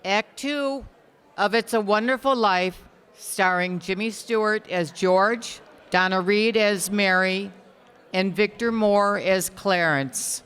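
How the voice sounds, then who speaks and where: A woman's voice: mid-range voice, slow, fairly bright tone, clean tone. A woman announcer giving scripted introductions for a live radio play. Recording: echoey hall, live stage pickup.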